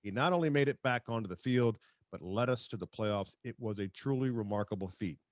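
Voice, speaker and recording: A man hosting a sports talk show, speaking conversationally and haltingly as he comments and reads out quotes. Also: on the low side, dry recording, studio quality.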